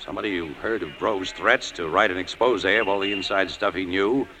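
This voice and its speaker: An actor playing a wry, slangy, soft-hearted tough newspaper photographer in 1940s radio drama style. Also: male voice, sits low, a little fast, slight background noise. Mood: brooding. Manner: slangy, clipped, hesitant. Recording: old radio drama with music.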